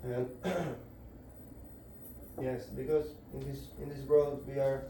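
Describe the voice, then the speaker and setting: A man giving a devotional scripture lecture to a group indoors, halting and searching for words as he explains. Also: medium pitch, flat delivery, dark tone, slight background noise. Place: indoor gathering room. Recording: very echoey room, voice far off.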